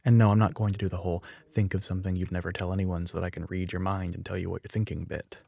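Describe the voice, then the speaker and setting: Man playing a smug, low, husky telepathic classmate. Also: level tone, rapid-fire, warm tone, no echo. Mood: smug, teasing. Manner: dry, teasing, matter-of-fact, drops into whispers. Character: smug, teasing young male telepathic classmate, a tsundere. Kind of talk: ASMR roleplay, close and soft-spoken.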